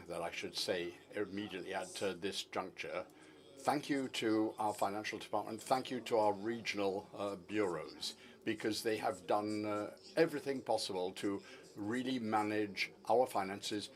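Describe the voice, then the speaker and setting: A man interpreting a formal finance report into English, measured and formal with frequent hesitations. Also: on the low side.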